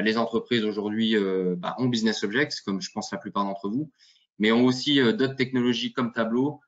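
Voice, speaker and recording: A man's voice: lowish voice, fairly bright tone. A man hosting a webinar, chatty and joking, with many fillers, heard over a video call. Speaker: webinar host. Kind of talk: casual webinar presentation. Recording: dull lo-fi call audio.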